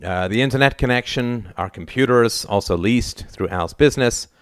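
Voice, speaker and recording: A man's voice: mid-range voice, moderate pace, clear, resonant tone, no room sound, clean studio sound, pitched above the performer's own voice, close to an everyday voice. A man voicing a frazzled, exasperated mother of teenagers in an audiobook story, speaking emphatically. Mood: resigned.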